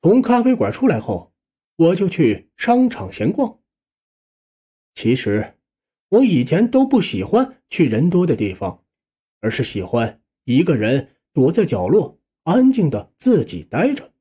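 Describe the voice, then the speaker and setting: Expressive male audiobook narrator with swooping pitch. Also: measured pace, slightly rough. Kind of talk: scripted first-person story narration.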